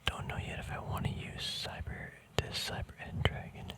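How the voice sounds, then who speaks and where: An ASMR host speaking in a casual, rambling whisper, hesitant and thinking aloud. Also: moderate pace. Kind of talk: ASMR whispering, casual card unboxing chat.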